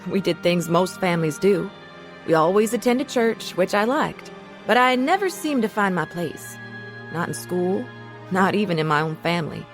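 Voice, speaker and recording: A woman's voice: lowish voice, lively intonation, moderate pace, faint music behind, lightly acted. An actress playing a reflective young woman who narrates her troubled youth in a confessional radio drama. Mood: wistful. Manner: earnest, confessional. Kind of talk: scripted first-person radio drama narration.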